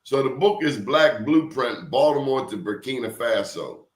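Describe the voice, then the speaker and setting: A man's voice: mid-range voice, animated, moderate pace, echoey room. A man hosting an interview show, telling stories in an emphatic, preachy way. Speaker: show host. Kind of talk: casual interview talk.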